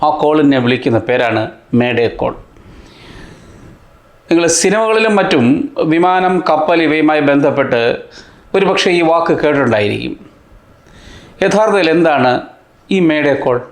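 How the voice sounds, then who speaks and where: A man narrates a scripted explainer in a fairly high voice. He delivers it in deliberate, short phrases and poses rhetorical questions as a teacher would. The sound is noticeably roomy, with a clear echo. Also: expressive. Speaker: host of a science explainer channel.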